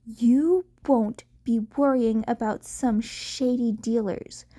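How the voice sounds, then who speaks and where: A woman voices a brash female hero mentor in an audiobook story. She is bossy and curt, giving orders and teasing in a slightly high, unhurried voice. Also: steady tone, dark tone. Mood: stern, threatening.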